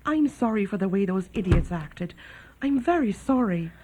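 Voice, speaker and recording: A woman's voice: medium pitch, lively intonation, normal speed, mellow, a little hiss or hum, natural voice. An actress playing a kindly but blunt, motherly boarding-house landlady in a radio drama. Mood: sympathetic.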